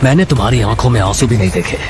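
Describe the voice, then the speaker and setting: A man's voice: lowish voice, very fast, natural voice. Cold, commanding protective husband acted by a man. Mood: intense, menacing. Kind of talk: Hindi audio drama acting.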